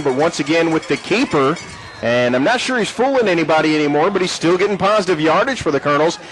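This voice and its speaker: A man calling a high school football game play by play from the stadium booth. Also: rough recording. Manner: rising excitement on big plays. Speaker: play-by-play announcer. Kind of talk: sports play-by-play commentary. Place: press box at an outdoor stadium.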